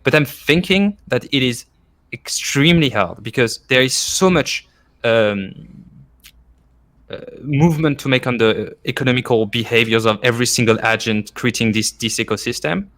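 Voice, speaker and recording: Bright-voiced French man arguing his point. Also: mid-range voice, moderate pace. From France. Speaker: former esports coach, podcast guest. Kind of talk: casual argumentative discussion.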